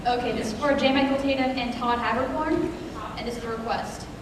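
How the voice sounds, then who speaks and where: A woman's voice: on the high side, fairly quick, background noise. A woman fan asking panelists a question from the audience, heard from far off in a large hall. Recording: echoey hall from far off, crowd.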